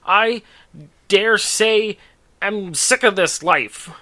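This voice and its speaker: A man's voice: sits high, drawn-out words, sharp tone, pitched above the performer's own voice, exaggerated voice. A man voicing a restless, fed-up male skeleton banker in a story, with prim, emphatic declarations. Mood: fed up, exclaiming.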